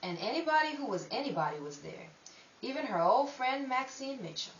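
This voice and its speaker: A woman's voice: sits high, sing-song, a little fast. A woman playing a world-weary, streetwise narrator of a 1930s underworld tale, in dramatic old-time radio style. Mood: wry, ominous. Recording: echoey, distant mic, dull, faint hiss.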